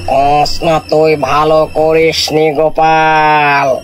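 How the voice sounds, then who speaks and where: An actor playing a boastful, menacing fish-eating river ghost in a story cartoon, with drawn-out, taunting threats. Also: male voice, on the high side, monotone, faint music behind. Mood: eerie calling. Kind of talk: Bengali animated horror storytelling.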